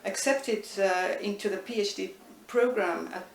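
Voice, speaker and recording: A middle-aged woman, thoughtfully answering interview questions with many pauses and restarts. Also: medium pitch, gravelly. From Estonia. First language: Estonian. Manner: thoughtful, frequent hesitations and restarts. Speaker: interviewee, linguistics professor. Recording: echoey room, distant mic, faint noise.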